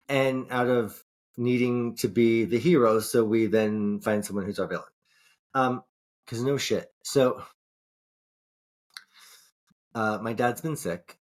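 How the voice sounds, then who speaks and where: A man co-hosting a podcast in casual chat, rambling and swearing, often restarting his sentences. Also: mid-range voice. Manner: rambling, profane, frequent restarts. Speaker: podcast co-host.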